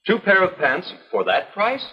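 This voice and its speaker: A man's voice: sits high, very animated, moderate pace. A pushy, brash salesman. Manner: overbearing sales patter. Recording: dull old film soundtrack.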